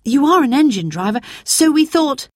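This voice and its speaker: A woman's voice: high voice, very animated, moderate pace, dry recording, clean studio sound, pitched above the performer's own voice. A woman voicing a polite, upset young girl in a storybook reading, pleading and apologetic.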